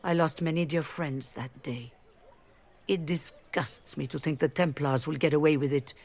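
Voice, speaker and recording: Proud rebel mage leader. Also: female voice, low voice, steady tone, normal speed, a little mellow. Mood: bitter, defensive. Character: proud, diplomatic elven woman leading rebel mages. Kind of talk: video game dialogue.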